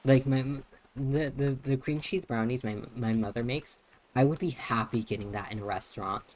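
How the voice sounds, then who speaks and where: A man's voice: mid-range voice, moderate pace, darkish tone. A young man streaming a game, chatting casually in a neutral, feminine-leaning voice, rambling with many restarts and fillers. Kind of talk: casual live-stream chat while playing.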